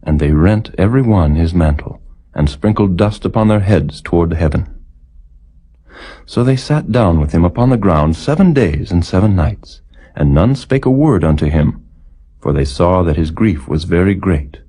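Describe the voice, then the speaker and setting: A man reading scripture aloud as a narrator, in a solemn, measured and formal delivery. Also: low voice, expressive, warm tone, breathy edge. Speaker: narrator, Bible reader.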